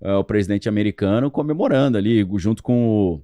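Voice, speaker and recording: A man's voice: on the low side, lively intonation, fairly quick, dark tone, no room sound. A man talking as a podcast guest, explaining history in a casual, loose way with plenty of slang and fillers.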